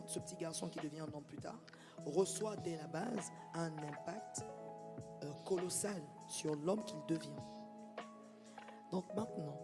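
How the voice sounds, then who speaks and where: A man's voice: on the high side, gravelly, in a large hall. A man hosting a podcast interview, reflective, searching for words and repeating himself. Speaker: host.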